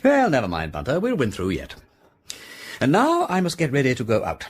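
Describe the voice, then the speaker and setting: Aristocratic English detective, upper-class accent, witty, breezy, hugely animated intonation, radio drama. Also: male voice, medium pitch, fairly quick, husky. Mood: conversational. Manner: breezy, whimsical, musing aloud, old-fashioned society slang.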